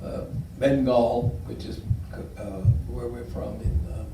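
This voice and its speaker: Older man telling stories, gravelly and distant. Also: mid-range voice, level tone, slow. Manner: warm, rambling storyteller with frequent fillers. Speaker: guest speaker. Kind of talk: public talk with audience questions. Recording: echoey room, distant mic, faint noise.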